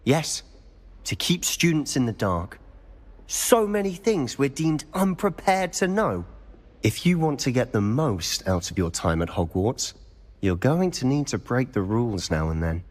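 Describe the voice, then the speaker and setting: A man's voice: mid-range voice, sing-song, big-room sound. A young man voicing a charming, rule-breaking teenage wizard student with a conspiratorial manner. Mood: sly. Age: young adult. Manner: charming, conspiratorial, quick-witted.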